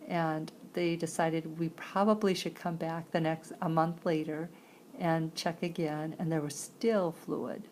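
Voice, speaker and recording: A woman telling a personal story conversationally, in halting phrases, with a creaky voice. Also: low-pitched, flat delivery, darkish tone, slight background noise, poor audio. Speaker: sign-to-voice interpreter.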